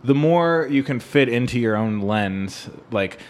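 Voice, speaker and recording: A man's voice: mid-range voice, expressive, measured pace. A man in a casual music discussion, talking in a rambling way and often checking for agreement with "right?". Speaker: podcast co-host.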